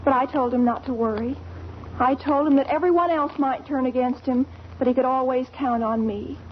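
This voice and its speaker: A woman's voice: sits high, moderate pace, natural voice. A woman playing a melodramatic, gushing teenage girl with theatrical, overwrought delivery in a 1940s radio comedy. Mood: earnest, pleading. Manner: theatrical, overwrought, big words. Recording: dull, noisy 1940s radio recording.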